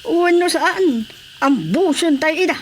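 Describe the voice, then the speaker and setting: A man's voice: very high-pitched, animated, slow, slight background noise, full character voice. A man with an Ilocano accent playing a fierce, commanding rebel commander in a radio drama. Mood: resolute plotting. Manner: commanding, forceful. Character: fierce rebel commander plotting ambushes in the mountains.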